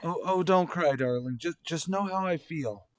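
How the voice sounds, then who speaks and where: An actor playing a male survey commander and tender long-lost lover in an audio drama, halting and emotional. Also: a little high, close to an everyday voice. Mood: loving, anguished.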